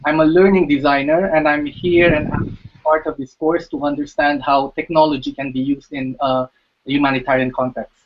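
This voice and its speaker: A man from the Philippines, a learning designer, speaking haltingly with many fillers. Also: on the high side, little pitch movement. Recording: slightly muffled recording.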